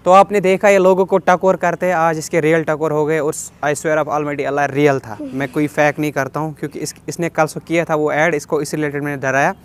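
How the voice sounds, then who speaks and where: A young man with a fairly high voice and a Kashmiri accent hosts a casual question-and-answer vlog. He reads each question aloud and replies in a chatty way, dropping in bits of English. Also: flat delivery, normal speed, hardly any pauses, smooth. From Kashmir, India. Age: 20s. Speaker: vlogger answering viewer questions. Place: outdoors on a rooftop.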